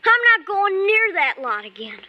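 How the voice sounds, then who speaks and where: A woman's voice: very high-pitched, very animated, normal speed, lo-fi sound. A woman voicing a scrappy, grumbling young boy in an old-time radio drama, slangy and defiant. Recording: vintage radio recording.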